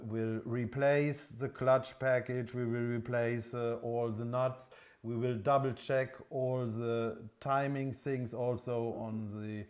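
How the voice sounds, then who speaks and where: A man in his fifties with a continental European accent, a non-native English speaker explaining things off the cuff in a halting, hesitant way as a workshop presenter. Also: medium pitch, darkish tone. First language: not English. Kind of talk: unscripted product explanation. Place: indoor engine workshop showroom.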